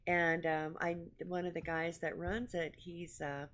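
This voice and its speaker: A woman's voice: on the low side, little pitch movement, unhurried, fairly bright tone. A woman from the American South co-hosting a live show, with a trained, projecting public speaking voice and a Southern accent. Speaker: co-host.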